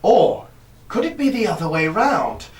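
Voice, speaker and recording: A man performs a flustered, devoted young British sleuth's companion in a radio drama. His pitch moves around a great deal as he hesitates and exclaims in old-fashioned turns of phrase. The sound is distant and reverberant, like a hall. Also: sing-song, measured pace. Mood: agitated. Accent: British English. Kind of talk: live radio play acting. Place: live stage performance recorded from the audience area. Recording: echoey hall recorded from far off.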